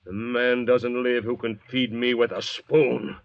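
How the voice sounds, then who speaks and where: An actor playing a surly, defiant young convict in an old-time radio drama, blunt, sneering and demanding. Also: male voice, mid-range voice, little pitch movement, a little slow, close to an everyday voice. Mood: defiant, resentful. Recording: dull vintage radio recording.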